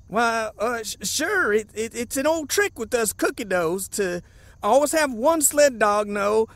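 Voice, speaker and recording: Man doing a very high-pitched sly Western outlaw. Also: sing-song, unhurried, a bit bright, dry recording, full character voice. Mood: slyly friendly. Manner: folksy, sly. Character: scheming male Old West outlaw, folksy fast-talking fibber. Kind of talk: fan comic dub acting.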